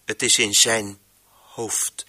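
An actor playing a stern, brooding master of voices in a radio play, commanding and deliberate, with theatrical pauses. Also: male voice, fairly low pitch, flat delivery, pushed and bright, full character voice. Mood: brooding, defiant. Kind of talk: radio play monologue, declamatory.

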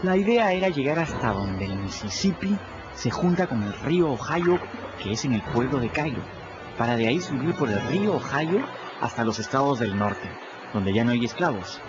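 A man plays a cheeky adolescent runaway telling his adventures in a radio drama. His colloquial delivery swings up and down with lots of exclamation. The sound is slightly dull, with music clearly behind him. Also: mid-range voice, big pitch swings, normal speed, close to an everyday voice. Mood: animated storytelling. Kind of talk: radio drama storytelling in first person.

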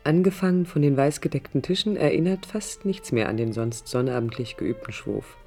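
A man's voice: mid-range voice, lively intonation, moderate pace, gravelly, a little mellow, faint music behind. A reflective, ironic male storyteller. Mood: reminiscent. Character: reflective, ironic first-person storyteller looking back. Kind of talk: literary radio drama narration.

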